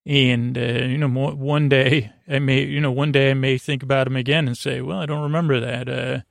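Male sleep-podcast host: creaky mid-range voice, dry sound, halting and goofy rambling with frequent stammers. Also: mid-range voice, level tone, no echo. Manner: halting, meandering, with stammers and self-corrections; goofy, whimsical. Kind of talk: rambling bedtime monologue to help listeners sleep.

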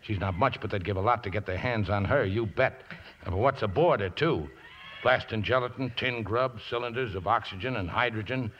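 An actor playing a rough, easygoing riverboat mechanic in a 1950s radio drama, deferential and folksy, with ungrammatical speech. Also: male voice, lowish voice, a little fast, a bit bright, close to an everyday voice. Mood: urgent, helpful. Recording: dull, noisy vintage radio broadcast.

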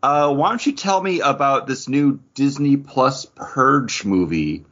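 A man's voice: medium pitch, animated, unhurried, fairly bright tone, lo-fi sound. A man hosting a podcast in casual, chatty pop culture banter. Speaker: podcast host.

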